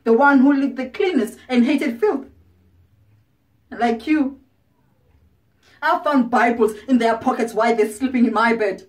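Woman performing defiant ageing streetwalker, highish voice, theatrical monologue, wounded pride, confrontational, recorded at a distance. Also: lightly acted. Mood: angry, accusing. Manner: dramatic, confrontational, pointed pauses. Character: proud, bitter ageing woman, former prostitute, wounded and defiant. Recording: distant microphone.

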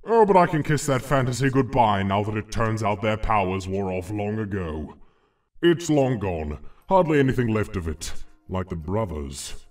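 A man voicing a scheming, brooding cartoon Devil in a theatrical, gravelly voice for a comic dub. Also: on the low side, sing-song, darkish tone, recorded from a distance. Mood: covetous. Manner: theatrical, brooding, drawn-out musing. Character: scheming, covetous Devil, brooding over lost power. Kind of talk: animated comic dub acting.

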